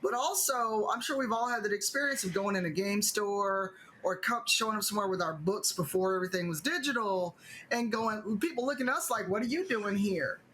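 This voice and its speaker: A woman's voice: medium pitch, fairly quick, fairly bright tone, a little hiss or hum, poor audio. A middle-aged woman speaking conversationally on a video call panel, making wry points with asides. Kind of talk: panel discussion.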